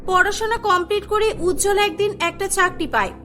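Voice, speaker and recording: A woman's voice: very high voice, brisk, pushed and bright, faint music behind. A woman narrating a cartoon story from a script.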